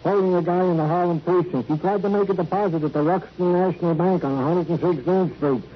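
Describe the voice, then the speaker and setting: A man's voice: high-pitched, quick pace, close to an everyday voice. A man playing a matter-of-fact police officer reporting in clipped, businesslike style in a classic radio crime drama. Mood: brisk. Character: matter-of-fact male police colleague reporting case facts. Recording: dull old radio recording, faint noise.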